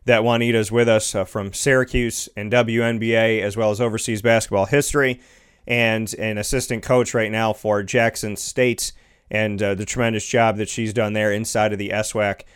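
A man's voice: on the low side, little pitch movement, normal speed, smooth. A man hosting an interview, rambling through his questions with many filler words. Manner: rambling, heavy on "you know" fillers. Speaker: interview host.

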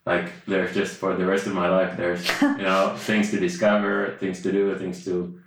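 Man, author in interview; low monotone, halting delivery, very echoey room. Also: noisy background. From Finland. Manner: halting, many restarts and fillers. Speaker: author and researcher. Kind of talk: reflective interview talk.

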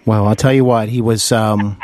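A man's voice: on the low side, fairly even intonation, moderate pace, darkish tone, no room sound. A man hosting a podcast interview, talking earnestly and conversationally, repeating words as he builds his questions. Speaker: podcast host interviewing a guest.

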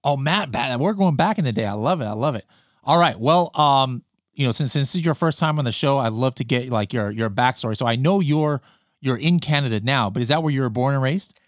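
Fast-talking upbeat podcast host. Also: male voice, mid-range voice, lively intonation, dry recording, studio quality. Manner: upbeat, encouraging, quick back-channels. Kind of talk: casual podcast interview.

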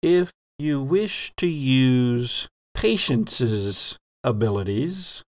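A man hosting and narrating a tabletop horror game in a measured, theatrical way. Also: medium pitch, lively intonation, dry recording. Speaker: game master and podcast host.

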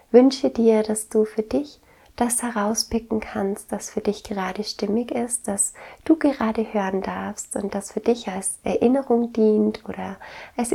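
Female wellness podcaster, stuffed-up nose, soft-toned with vocal fry, earnest reflective monologue addressed to one listener. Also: mid-range voice. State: congested with a cold, stuffy nose. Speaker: podcast host.

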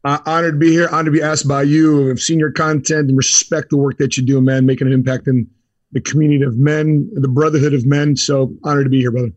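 A man's voice: on the high side, little pitch movement, quick pace, a little mellow, dry recording, dull audio. A man being interviewed on a podcast, telling his story steadily in short punchy phrases. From United States. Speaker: guest. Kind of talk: casual interview.

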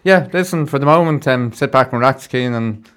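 A man's voice: mid-range voice, fairly quick, a bit bright, clean tone. An Irish man presenting a radio show and interviewing in a casual, chatty, encouraging way. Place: radio studio. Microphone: studio broadcast mic.

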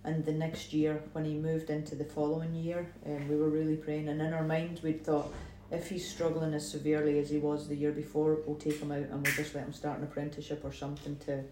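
A woman, a church planter, telling family stories in earnest, relaxed dinner table chat. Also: deep voice, brisk, hardly any pauses. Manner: earnest, reflective storytelling. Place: dining room. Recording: roomy with faint noise.

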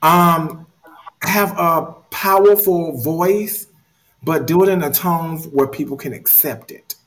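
A man leading a casual motivational discussion, earnest and animated, often repeating words as he thinks. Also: fairly high pitch, slow, poor audio.

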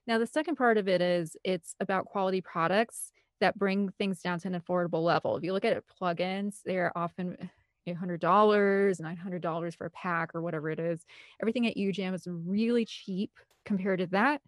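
A woman's voice: fairly low pitch, steady tone, moderate pace, no echo, studio quality. A woman talking casually and at length as an interview guest, with a gushing, rambling enthusiasm. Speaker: interview guest, film composer and director.

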